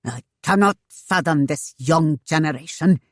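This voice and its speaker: A woman voicing a shrewd, fussy older gentleman in a storybook, speaking in a formal, old-fashioned way. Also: low-pitched, expressive, measured pace, no echo, studio quality. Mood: fretful.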